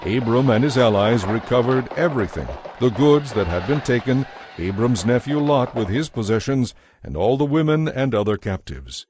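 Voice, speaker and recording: A man narrating scripture from a script as a solemn, measured storyteller. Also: medium pitch, a little mellow.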